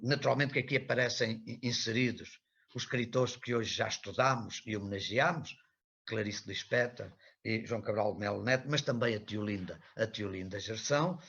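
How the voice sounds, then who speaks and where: A man's voice: lowish voice, moderate pace, a bit bright, husky, highs cut off. An older man gives an academic talk as a professor, in long erudite sentences broken by hesitations and repeated words. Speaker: professor and author speaking at an academic seminar. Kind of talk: academic talk, semi-improvised lecture.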